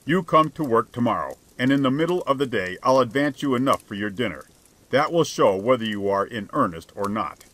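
Audiobook role: male reader as prosperous, resentful bully, blustering and humorless, mid-pitched voice. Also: animated, brisk, a bit bright. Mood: grudging. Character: large, prosperous, bullying, self-righteous married householder, gruff and resentful. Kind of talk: dramatized audiobook character.

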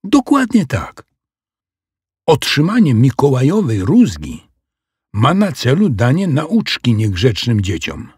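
A man's voice: medium pitch, huge pitch swings, slow, a little mellow, husky, dry recording, clean studio sound, pitched above the performer's own voice, heavily acted. A man voicing a clever, confident little girl in a children's audio story, explaining things with assurance.

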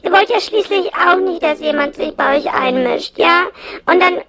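A woman voicing a chatty, self-pitying, cheeky plush monster in a comic audio play, with a strained voice and rambling, throat-clearing delivery. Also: very high voice, normal speed, pushed and bright, heavily acted. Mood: pleading. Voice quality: creaky, strained. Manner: rambling, throat-clearing, mock-earnest asides. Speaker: host.

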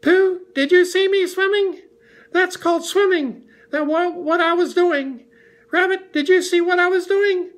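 A tiny, squeaky young kangaroo boy from a storybook. The voice is pitched far up and bubbles with excitable, proud showing-off. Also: unhurried, hardly any pauses. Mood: excited, proud.

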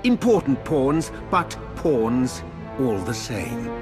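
A man's voice: mid-range voice, big pitch swings, slow. An actor playing a condescending male villain leader in a video game, with a theatrical delivery. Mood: contemptuous. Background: game music.

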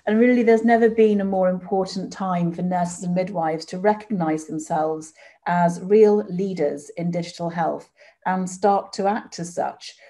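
Woman in her 40s chairing an online panel, lowish mellow voice, measured. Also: fairly even intonation. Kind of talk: scripted conference introduction. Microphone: video call.

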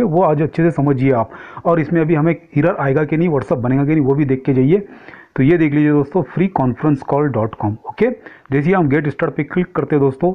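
A man with a fairly high voice talks viewers through a screen-recorded tutorial. He explains step by step in a casual, direct way. The sound is narrow and lo-fi, like a phone line. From India. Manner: explanatory, repeatedly addressing viewers as friends. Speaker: tech tutorial host.

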